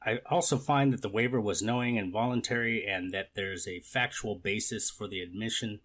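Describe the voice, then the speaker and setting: A man's voice: fairly low pitch, brisk, a bit bright. A man playing a formal, methodical court official in a scripted readers theater courtroom drama. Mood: procedural, authoritative. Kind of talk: amateur readers theater, scripted legal reading.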